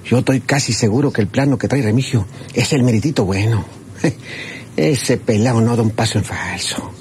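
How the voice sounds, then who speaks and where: A man's voice: mid-range voice, moderate pace, a little mellow, lightly acted. A man playing a suspicious, grumbling treasure-hunting outlaw with a rural Mexican accent in a radio serial. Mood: impatient grumbling. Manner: folksy, colourful, grumbling. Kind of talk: old Spanish-language radio serial acting. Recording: noisy old radio drama recording.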